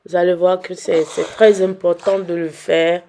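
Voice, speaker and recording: Hoarse young woman, unhurried and gravelly, teasing viewers while she cooks. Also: on the low side, flat delivery, warm tone, slight background noise. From Benin. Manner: chatty, teasing, addresses viewers directly. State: hoarse from a cold. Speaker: cooking vlogger. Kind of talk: casual cooking demonstration.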